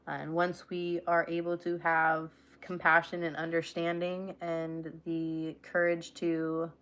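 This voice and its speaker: A woman's voice: on the low side, monotone, slow, fairly bright tone. A young woman hosting a casual livestream, reading aloud and chatting affectionately with her viewers. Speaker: livestream host.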